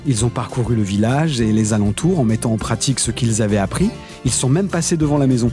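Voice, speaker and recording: A man voicing a polite local elected official and proud father in an animated explainer. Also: mid-range voice, soft background music, lightly acted. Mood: formal. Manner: polite, formal, measured. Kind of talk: scripted cartoon dialogue.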